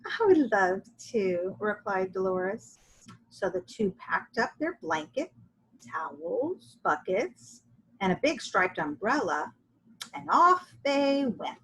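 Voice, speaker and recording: A woman reading a children's story aloud in an animated, expressive way with drawn-out emphasis. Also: medium pitch, a little hiss or hum, poor audio. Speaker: storybook reader.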